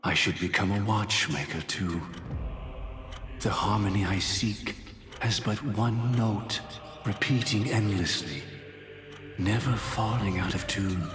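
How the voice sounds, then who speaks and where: An actor playing a solemn, philosophical man who muses on harmony and death in a slow, measured, grave way. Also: lowish voice. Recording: reverberant game cutscene audio over music.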